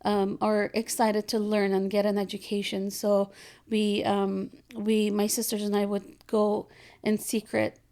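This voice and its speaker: A woman from Afghanistan in her thirties, a guest speaking in a casual interview with many pauses and fillers. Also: mid-range voice, monotone, warm tone. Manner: hesitant, many ums and restarts. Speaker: guest, rug business founder.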